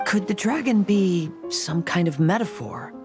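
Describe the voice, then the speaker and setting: A woman's voice: mid-range voice, measured pace, over music, natural voice. A woman voicing a composed, studious and polite young woman in a video game dub.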